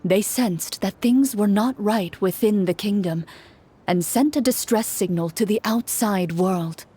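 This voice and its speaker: A woman giving calm, measured second-person narration of a game story. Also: medium pitch, husky, faint music behind.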